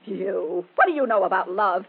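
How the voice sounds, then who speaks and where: A woman's voice: medium pitch, lively intonation, moderate pace, breathy edge, phone-quality sound. An actress playing an indignant, domineering mother scolding her teenage daughter in 1940s radio drama, scornful and repeating her commands. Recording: thin, band-limited old radio recording.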